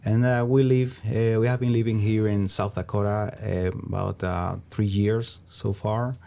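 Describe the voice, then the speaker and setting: A man from Venezuela with a Venezuelan Spanish accent, answering interview questions in a halting way as he searches for words. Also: fairly low pitch, level tone, gravelly, warm tone. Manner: halting, searching for words, frequent "you know". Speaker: interview guest, small business founder.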